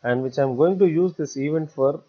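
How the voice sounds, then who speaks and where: A man narrating a step-by-step software tutorial in a halting way, repeating words as he goes. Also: fairly high pitch, husky. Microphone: voice-over recorded for screen capture. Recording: dull, muffled lo-fi voice recording.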